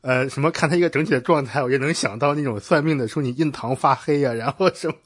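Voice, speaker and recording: A man's voice: medium pitch, quick pace, husky. A man from China hosting a casual interview, framing his questions informally and a little hesitantly. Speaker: podcast host, interviewer.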